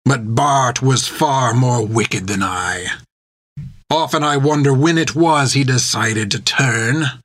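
A man's voice: mid-range voice, takes it slowly, fairly bright tone, dry recording, studio quality. A man voicing a cold, scornful male pirate captain with a sneering, mocking delivery.

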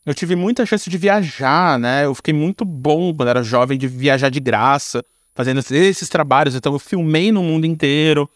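A Brazilian man talks casually as an interview guest. His voice sits a little high for a man and is recorded very dry. He phrases things informally, with slang and frequent tag questions. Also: moderate pace, no echo. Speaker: guest, screenwriter and filmmaker. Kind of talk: casual conversational interview answers.

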